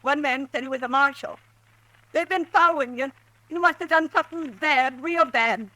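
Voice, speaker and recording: An actress playing a frightened frontier wife held at gunpoint, in 1950s radio acting. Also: female voice, normal speed, bright, forceful tone, no room sound, poor audio. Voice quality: high, pleading.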